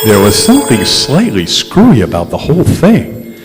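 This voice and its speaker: A man's voice: medium pitch, wildly swooping pitch, normal speed. A man playing a skeptical, wry investigator in old-time radio style, both narrating his case and pressing others for answers. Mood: wry narration. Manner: wry, insistent. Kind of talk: 1940s-50s radio detective acting on stage. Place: live stage in a museum courthouse. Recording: echoey hall, music behind.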